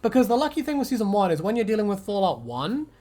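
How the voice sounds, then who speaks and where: A woman's voice: medium pitch, very animated, fast, mellow, soft background music. A woman co-hosting a video, chatting casually as a fan in a jokey, giggly, sweary way. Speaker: video co-host. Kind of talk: casual fan chat and commentary.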